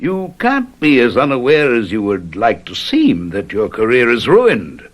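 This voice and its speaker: An actor playing a cruel, pompous English aristocrat in an old radio drama, sarcastic and menacing. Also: male voice, mid-range voice, huge pitch swings, unhurried. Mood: sneering threat. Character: cruel, gloating English aristocrat husband with a gun.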